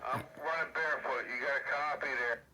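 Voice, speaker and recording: A man's voice: a little high, steady tone, brisk. A man making casual radio chatter, heard through a CB radio speaker. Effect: voice heard over CB radio. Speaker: radio operator. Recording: distant, sharp CB radio speaker sound.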